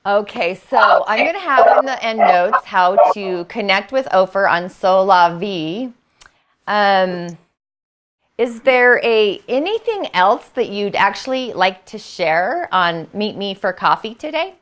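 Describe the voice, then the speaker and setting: Unhurried woman podcast host. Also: medium pitch, expressive, slight background noise. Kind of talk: podcast interview.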